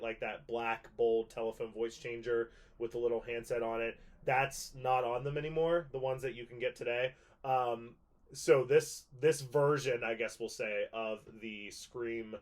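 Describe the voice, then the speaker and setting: A man in his thirties casually explaining things to viewers, rambling a little and repeating points for emphasis. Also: medium pitch. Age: 30s. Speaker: video host and prop collector.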